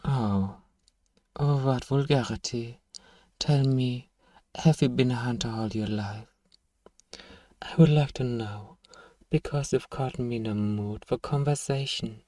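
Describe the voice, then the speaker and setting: Man playing a slow, hushed, menacing vampire seducer. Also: mid-range voice, dry recording, distant mic, lightly acted. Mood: coaxing, falsely sympathetic. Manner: slow, hushed, taunting, condescending, lapses into whispers. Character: powerful elder male vampire, suave, menacing, manipulative seducer. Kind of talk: ASMR roleplay monologue.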